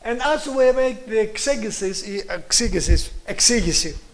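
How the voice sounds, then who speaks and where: A middle-aged man with a Greek accent giving a religious lecture on scripture in halting English, emphatically repeating key words. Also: high voice, lively intonation. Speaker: Bible teacher giving a presentation.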